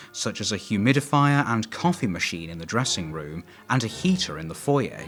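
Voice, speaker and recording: A man's voice: fairly low pitch, a bit bright. A man narrating a documentary from a script.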